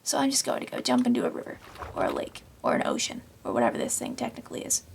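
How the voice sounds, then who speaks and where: A woman casually narrating her Minecraft game, rambling and self-deprecating, her voice slightly raspy from long talking. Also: very deep voice, wildly swooping pitch, fast talker, slight background noise. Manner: rambling, self-deprecating, talks to herself while playing. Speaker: gaming channel host. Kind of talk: casual Minecraft let's play commentary.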